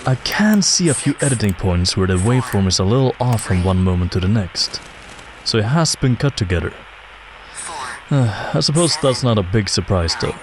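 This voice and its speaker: An actor playing a calm, helpful male audio technician in an audio drama, speaking in a measured, tentative, understated way. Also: fairly low pitch, natural voice. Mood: calm, considered. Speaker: audio analyst character. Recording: loud noise under the voice.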